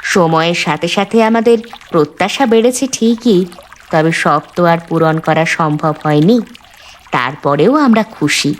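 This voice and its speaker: A woman's voice: lowish voice, animated, a little slow, slight background noise. A woman voicing a plain-spoken, hardworking wife who lives on a boat, resigned and contented, in cartoon dialogue. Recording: background music under voice.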